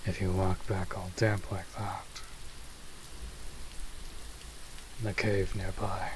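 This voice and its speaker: A man playing a stoic but gentle young monster hunter in a roleplay audio drama, terse, measured and soft-spoken. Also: sits low. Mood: calm, guarded. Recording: steady rain noise throughout. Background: rain and cave ambience.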